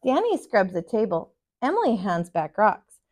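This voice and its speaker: An American woman in her thirties, a teacher reading a picture book aloud to children in an expressive, sing-song way. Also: mid-range voice, moderate pace. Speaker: kindergarten teacher, storyteller. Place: small furnished room, indoors.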